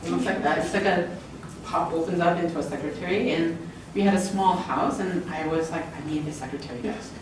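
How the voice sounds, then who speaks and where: Middle-aged woman presenter, chatty and laughing, recorded from far off in an echoey lecture room with steady noise. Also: brisk. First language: English. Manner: chatty, laughing, acting out quoted lines with pitch jumps. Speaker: author and illustrator giving a book talk. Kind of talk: informal presentation. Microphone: far camera in the room. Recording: roomy, distant camera audio with noise.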